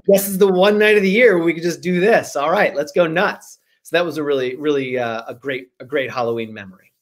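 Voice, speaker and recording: Animated American man telling stories. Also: a little high, fairly quick. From Rhode Island, United States. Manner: animated, gesturing, playful, rambling. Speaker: guest author and screenwriter. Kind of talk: casual storytelling in an online interview. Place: small home room, indoors. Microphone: computer mic on a video call.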